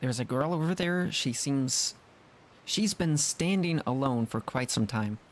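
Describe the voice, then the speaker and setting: A streamer giving casual gameplay commentary in halting, puzzled asides as they react to dialogue. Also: mid-range voice, lively intonation, a little hiss or hum. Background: faint game music.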